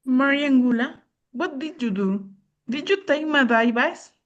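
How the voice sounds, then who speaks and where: Woman playing a high-voiced, earnest concerned neighbor. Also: lively intonation, unhurried, a bit bright, highs cut off. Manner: earnest, explanatory. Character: concerned, reproving neighbor woman who explains things.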